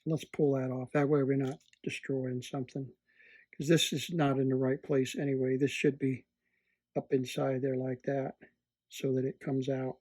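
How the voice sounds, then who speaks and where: A man at an indoor workbench gives an unscripted, hands-on repair demonstration, thinking aloud in a halting way as he works. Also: mid-range voice, little pitch movement, a little mellow, no echo, rough recording. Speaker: electronics repair hobbyist presenting.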